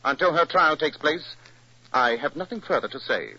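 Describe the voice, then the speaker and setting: An actor playing a brisk, authoritative British gentleman detective with a cool, commanding manner in a 1940s radio drama. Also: a little high, expressive, natural voice. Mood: stern, commanding. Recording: muffled, hissy old radio recording.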